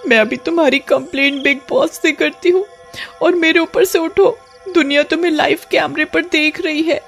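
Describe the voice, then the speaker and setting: A woman's voice: sits high, steady tone, moderate pace, soft background music, pitched above the performer's own voice. A woman voicing a snobbish young film heroine in a cartoon, haughty and easily outraged, dropping English phrases. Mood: frightened outrage. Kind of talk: Hindi cartoon voice acting.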